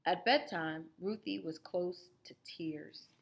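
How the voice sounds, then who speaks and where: A woman reading a children's story aloud as a teacher, expressively, pausing to explain it to young listeners. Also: lowish voice, creaky, noisy background. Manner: animated, explanatory, guiding listeners through the story.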